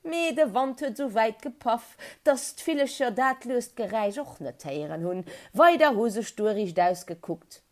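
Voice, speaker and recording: A woman with a Luxembourgish accent telling a children's story in a dramatic, animated way, with emphatic build-ups and repetition. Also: on the high side, unhurried, hardly any pauses.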